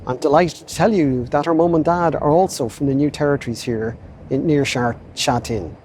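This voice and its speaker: Diplomat host, man, slightly raised pitch, polished and measured. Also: darkish tone, slight background noise. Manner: measured, courteous introductions. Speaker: consul general. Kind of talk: formal hosting remarks.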